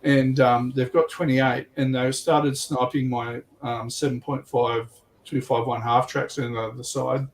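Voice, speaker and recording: A man chatting casually as a player on a livestream, recapping a tabletop game in a halting, slangy, self-deprecating way. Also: mid-range voice, flat delivery, a little hiss or hum.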